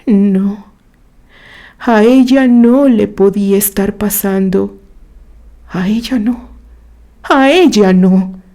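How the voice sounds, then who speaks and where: A woman reading a literary story aloud in a dramatic, expressive way, with measured phrases and pauses. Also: medium pitch. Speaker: narrator reading a written story.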